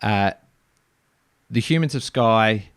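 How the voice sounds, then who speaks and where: A man hosting a podcast interview, talking warmly with frequent ums and restarts. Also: lowish voice, slow, clean tone, no echo. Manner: warm, hesitant with many ums and restarts. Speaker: podcast host.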